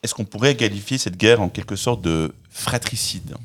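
A man hosting a podcast, reading a scripted opening and then asking measured, articulate interview questions. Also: fairly low pitch. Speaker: podcast host. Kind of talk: interviewing, partly scripted intro.

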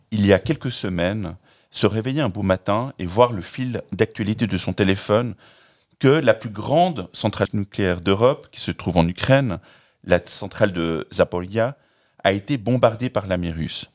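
A man hosting a podcast, reading a scripted opening and then asking measured, articulate interview questions. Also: on the low side, expressive. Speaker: podcast host. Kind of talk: interviewing, partly scripted intro.

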